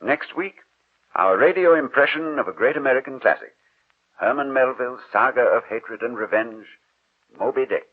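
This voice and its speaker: Old time radio announcer guy from the 40s, formal and measured, bit rough voice, tinny muffled sound. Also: medium pitch. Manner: formal, measured, theatrical phrasing. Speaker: radio drama host and announcer. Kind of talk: 1940s radio announcing, scripted. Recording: muffled, narrow-band 1940s radio recording.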